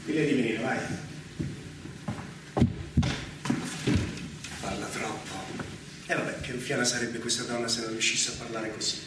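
An actor playing a jealous general in a stage tragedy, swinging from hushed menace to shouting at his wife. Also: mid-range voice, fairly even intonation, quick pace, natural voice. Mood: bitter contempt. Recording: very echoey, distant, noisy stage recording.

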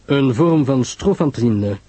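Man acting a husky, measured, knowing detective. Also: mid-range voice, expressive, warm tone, no room sound. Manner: measured, grave, didactic. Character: calm, sententious male detective, measured and knowing.

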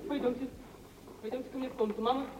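A gentle, distressed young daughter voiced by an actress in a vintage Russian radio play. She pleads in a high voice. The old recording is echoey, with a faint layer of noise. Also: fairly even intonation, racing, natural voice. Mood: pleading. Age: young adult. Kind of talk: 1950s Russian theatrical radio drama.

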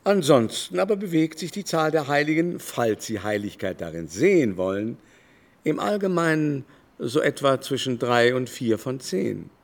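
An actor playing a haughty, worldly baron in a German radio drama, lecturing scornfully and emphatically at length. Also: male voice, mid-range voice, expressive, moderate pace, gravelly. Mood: emphatic, knowing. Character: haughty, worldly aristocratic baron, impatient know-it-all. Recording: music behind the voice.

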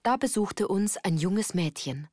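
An actress playing an earnest woman recalling her girlhood in measured, literary radio-play narration. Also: medium pitch, animated, lightly acted. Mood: earnest, reflective.

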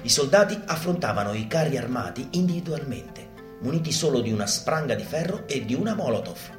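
A man delivering a theatrical, mock-instructional monologue in a deadpan, didactic manner, as a live stage performer. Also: medium pitch, fairly quick. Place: live performance space, roomy. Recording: roomy live stage recording with guitar music. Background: live guitar music.

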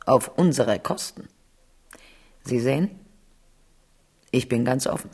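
A scheming king of France in a radio play. He takes his time over every phrase, commanding and emphatic, at a middling pitch. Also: takes it slowly, darkish tone, breathy edge. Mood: urgent, calculating. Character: scheming French king confiding in a young prince. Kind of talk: German radio drama acting.

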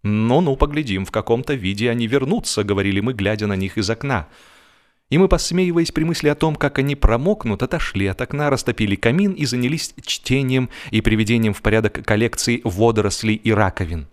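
A man's voice: medium pitch, lively intonation, a little fast. Man narrating a comic story theatrically. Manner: theatrical, mock-solemn. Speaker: audiobook narrator. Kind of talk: expressive humorous literary narration.

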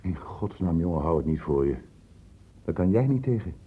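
A man's voice: low voice, sing-song, normal speed, breathy edge, phone-quality sound, exaggerated voice. A man acting in a radio play as a grave, precise and insistent doctor. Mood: stern, urgent. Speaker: actor in a radio drama. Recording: noisy old radio recording with clicks.

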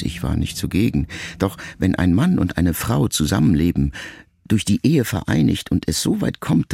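A middle-aged man reading a literary memoir aloud in a measured, reflective first-person voice. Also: fairly low pitch, creaky, darkish tone, slightly rough, dry recording, clean studio sound. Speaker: audiobook narrator. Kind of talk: scripted literary narration.

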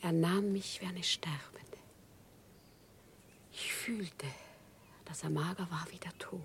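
A woman's voice: on the low side, a little hiss or hum, close to an everyday voice. A woman playing an earnest, tormented humble wife in a German radio drama, speaking in a solemn, fervent, declamatory way.

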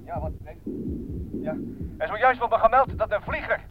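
An actor playing a harried, dutiful army lieutenant in a war radio play, clipped and formal. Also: male voice, sits high, expressive, normal speed, faint music behind. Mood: urgent, grave. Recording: muffled old radio recording, faint noise.

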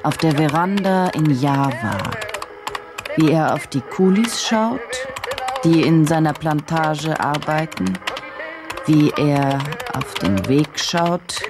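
A woman's voice: low voice, expressive, slow, full character voice. An actress playing a driven, self-questioning woman journalist, terse and introspective, in a radio drama inner monologue. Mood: intent, musing.

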